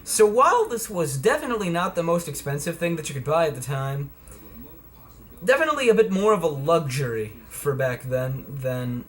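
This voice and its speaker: A man's voice: a little high, sing-song, moderate pace, a bit bright. A man giving a casual, folksy and playful product demonstration in a homemade video. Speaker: vlogger presenting vintage electronics.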